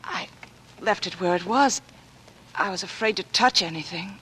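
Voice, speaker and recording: A woman's voice: mid-range voice, moderate pace, fairly bright tone, husky. A young American woman acting a frightened but plucky heroine in a 1940s radio drama, speaking with breathless earnestness over an old broadcast.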